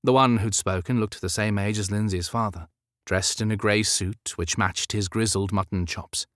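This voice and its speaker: Male audiobook narrator, low register, measured and expressive storytelling, close dry studio sound. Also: no room sound. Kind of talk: scripted narration of historical romance fiction.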